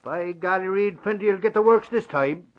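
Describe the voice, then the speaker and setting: A man acts as a good-natured, blustery reporter sidekick in a vintage radio serial. The voice sits high for a man and is folksy and exclamatory, with a slightly dulled recording. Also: moderate pace, muffled recording, natural voice. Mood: chatty, puzzled. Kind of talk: old-time radio drama acting.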